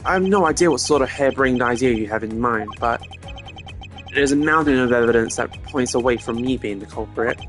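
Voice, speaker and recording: A man's voice: medium pitch, brisk, faint music behind, lightly acted. Man doing a cocky, flippant rival prosecutor. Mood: smug. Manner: casual, smug, taunting. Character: cocky, flippant young male rival prosecutor, evasive suspect.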